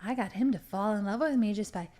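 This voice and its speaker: A woman's voice: mid-range voice, fast, darkish tone, lightly acted. A woman acting a manipulative crime lieutenant who poses as sweet and innocent in an improvised radio drama. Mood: smug, scornful.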